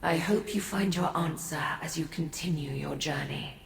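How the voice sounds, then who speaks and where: An actress playing an ancient, aloof godlike being in a fantasy game, speaking formally and with haughty, cryptic condescension. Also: female voice, low voice, vocal fry. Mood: grave, composed. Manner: measured, formal, condescending to mortals. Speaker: video game character. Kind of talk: scripted fantasy game dialogue. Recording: echoey, long reverb.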